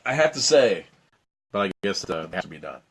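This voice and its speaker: Raspy-voiced man reading an adventure book. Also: low-pitched, very animated, a little fast. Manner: dramatic narration, then loose rambling chat. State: raspy, strained voice. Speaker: host reading a choose-your-own-adventure book. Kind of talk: live-stream storytelling and chat.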